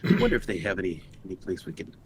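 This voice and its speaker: A man's voice: deep voice, wildly swooping pitch, very fast. A man chatting casually as a player in a tabletop roleplaying game, describing what his character does. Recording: noticeable background noise.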